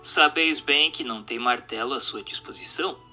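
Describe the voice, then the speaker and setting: A man's voice: medium pitch, normal speed, bright, forceful tone. A man reads a scripted question-and-answer text in a formal, didactic manner. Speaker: narrator reading a spiritist book. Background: music.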